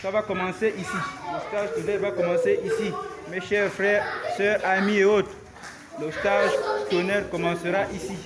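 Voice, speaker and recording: A man coaching self-defense, speaking casually and haltingly to his followers from a karate dojo and repeating words as he goes. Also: high voice, flat delivery. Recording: loud crowd noise behind. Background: crowd of young students.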